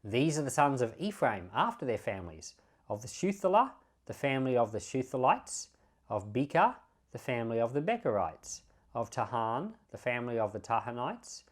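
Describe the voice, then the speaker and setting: A man reading the Bible aloud as a pastor, in measured phrases with emphatic openings. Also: mid-range voice, big pitch swings.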